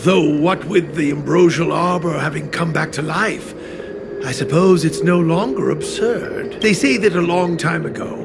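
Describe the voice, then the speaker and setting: High, creaky-voiced stern artisan. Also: male voice, a little slow, noisy background. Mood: solemn, storytelling. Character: stern, earnest master artisan who tends an ancient furnace. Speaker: video game character. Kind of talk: scripted game cutscene dialogue, expository storytelling. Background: prominent music.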